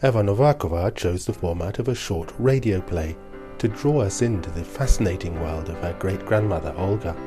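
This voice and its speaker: A male radio host interviewing a guest in a studio, asking gentle, measured questions. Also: low voice, over music.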